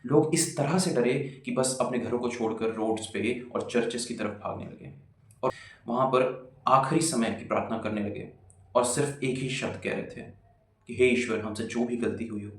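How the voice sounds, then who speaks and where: A man narrating a video as dramatic, suspenseful storytelling, with pauses and rhetorical questions, in an echoing recording with music behind. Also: mid-range voice, steady tone, creaky. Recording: roomy echo, music behind.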